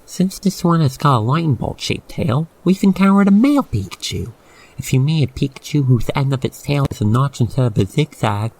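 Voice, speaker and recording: A man guiding the listener through an ASMR roleplay in expressive, theatrical, wordy storytelling narration. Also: a little high, creaky, rough recording.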